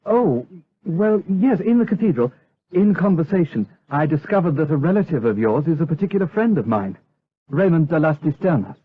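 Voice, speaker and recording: An actor playing a courteous, eager young gentleman suitor in a period audio drama, deferential in manner. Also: fairly high pitch, sing-song, normal speed, slight background noise. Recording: dull lo-fi radio drama recording.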